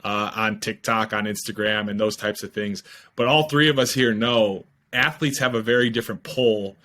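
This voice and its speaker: A man's voice: fairly low pitch, moderate pace, bright, forceful tone. A young man in his twenties, a startup founder, explaining eagerly in a casual podcast interview over a video call with a headset, with many fillers. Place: home room, video call.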